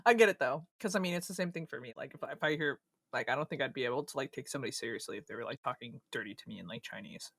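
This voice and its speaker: A man's voice: medium pitch, very fast, fairly bright tone, dry recording, clean studio sound. A man co-hosting a gaming podcast in casual chat. He teases his co-host with crude jokes and laughs a lot.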